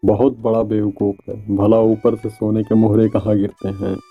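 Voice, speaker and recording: A man's voice: lowish voice, level tone, moderate pace, muffled recording, soft background music, lightly acted. A man voicing a greedy, mocking, scheming villager in a cartoon moral story, with a taunting, exclamatory delivery. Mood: scheming, gloating.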